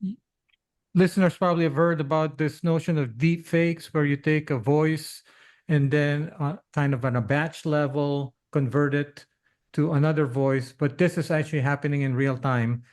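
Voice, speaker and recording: A man hosting a podcast interview, speaking haltingly as he stops to clarify things for listeners. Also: a little high, steady tone, a little mellow. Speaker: podcast host.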